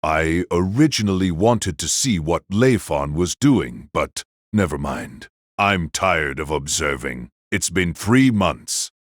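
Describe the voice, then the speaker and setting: A man playing an aloof, indifferent fighter in an audio drama, speaking in a relaxed, husky low voice. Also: no echo, studio quality, heavily acted. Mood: weary, bored. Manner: relaxed, unhurried. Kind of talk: audio drama character dialogue.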